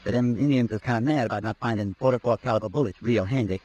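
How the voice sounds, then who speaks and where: A man's voice: mid-range voice, fast talker, darkish tone, muffled recording, close to an everyday voice. An actor playing a desperate, cornered gun smuggler in a 1950s radio drama, defiant and edgy, his voice climbing when he is pushed. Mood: scheming. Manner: edgy, desperate, voice rising under pressure.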